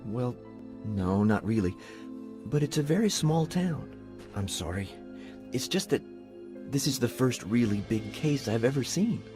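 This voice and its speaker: An actor playing a meek, sensitive sheriff's assistant in a video game, hesitant and apologetic. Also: male voice, mid-range voice, animated, unhurried, long pauses, natural voice. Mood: upset, earnest. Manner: hesitant, apologetic, eager to help. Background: game music.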